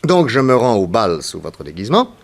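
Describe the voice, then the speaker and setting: Commanding detective hero, low lively voice. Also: male voice, moderate pace, vocal fry, heavily acted. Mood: assured, explanatory. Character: confident, commanding male private detective hero. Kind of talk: 1960s French radio detective serial acting.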